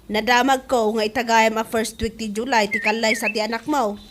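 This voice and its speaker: A woman's voice: fairly high pitch, steady tone, moderate pace, background noise, natural voice. A woman playing a chatty, proud middle-aged mother who gossips, in a comedy radio drama with an Ilocano accent. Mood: curious, gossipy. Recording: music under the voice.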